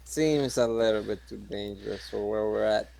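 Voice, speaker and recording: A man's voice: on the low side, normal speed, gravelly, a little mellow, a little hiss or hum. A man talking casually as a player in a tabletop role-playing game.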